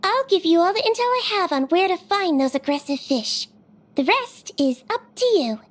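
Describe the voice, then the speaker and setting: A woman voicing a cute, polite little head nurse with a measured, professional manner in a video game. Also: extremely high pitch, lively intonation, a little hiss or hum. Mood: composed, explaining. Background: music.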